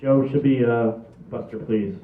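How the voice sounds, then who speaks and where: A man's voice: mid-range voice, fairly even intonation, unhurried, lightly acted. Man acting a level-headed family man, muffled, echoey. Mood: matter-of-fact. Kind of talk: table read of a comedy film script. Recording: muffled, echoey, far from the mic.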